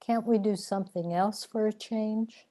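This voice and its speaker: A woman's voice: medium pitch, fairly even intonation, moderate pace, mellow, close to an everyday voice. A woman playing a weary, complaining soul trapped in limbo in a sci-fi radio drama, with a plaintive delivery. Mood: pleading.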